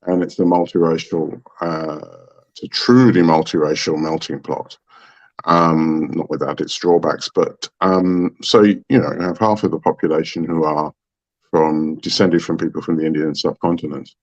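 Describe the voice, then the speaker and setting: A man from Trinidad, a guest on a talk show, telling a story in a thoughtful, halting, unhurried way. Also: a bass voice, steady tone, dull audio. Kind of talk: reflective storytelling in conversation.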